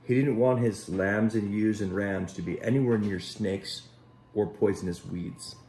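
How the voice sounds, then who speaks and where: A man reading a children's story aloud in an expressive, dramatic way, pausing to speak directly to young listeners. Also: lowish voice, slight background noise. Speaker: Sunday school storyteller. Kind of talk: animated children's story read aloud with asides. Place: at home.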